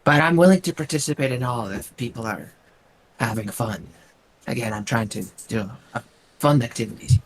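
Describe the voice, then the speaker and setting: Raspy man, hesitant tabletop player. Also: mid-range voice, normal speed, slight background noise, rough recording. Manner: hesitant, many likes and ums. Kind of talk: casual tabletop game chat.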